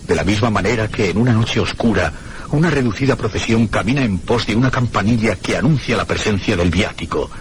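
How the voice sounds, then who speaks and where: Noisy radio recording, solemn male horror narrator, acted by a man, haunted, dramatic, deliberate phrasing, low pitch. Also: close to an everyday voice. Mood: solemn, suspenseful.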